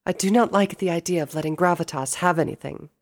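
A man's voice: on the high side, fast talker, voice pushed up, close to an everyday voice. A man voicing a regal, earnest pony princess in a storybook, formal and intense in manner. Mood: resolute.

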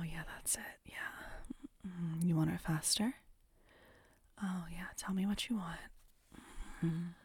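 Breathy whispering woman, close and dry. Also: lowish voice, a little mellow, no echo. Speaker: audio roleplay performer. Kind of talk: intimate whispered audio roleplay.

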